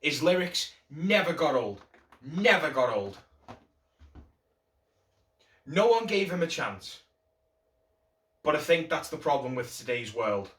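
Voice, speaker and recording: A man performing a stage monologue as a grieving friend, earnest and dramatic, with drawn-out emphasis. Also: a little high, big pitch swings, a bit bright, exaggerated voice. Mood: anguished regret. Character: grieving friend mourning a talented musician who gave up. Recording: roomy echo, distant microphone.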